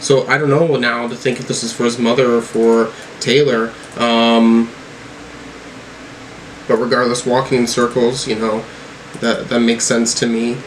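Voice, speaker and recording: A man hosts a casual music reaction, thinking aloud as he goes. His voice sits at a middling pitch, and some noise runs behind it. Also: flat delivery, a little slow, low-quality recording. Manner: musing, thinking aloud while reading out lyrics. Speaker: host of music reaction videos.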